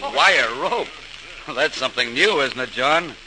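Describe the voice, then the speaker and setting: Sharp-voiced helpful workman, old radio. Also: mid-range voice, expressive, normal speed, low-quality recording. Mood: curious, willing. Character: Carl, plain-spoken, helpful male neighbor and workman. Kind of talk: 1940s radio drama acting. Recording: old radio recording, faint noise.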